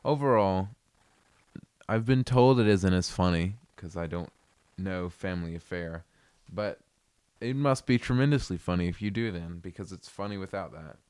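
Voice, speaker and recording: A man with a very low voice that sounds smooth and clear, talking in a relaxed, amused ramble. He is recorded dry and close, with no room sound around him. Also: normal speed, no room sound. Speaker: video host reviewing an album. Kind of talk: casual commentary.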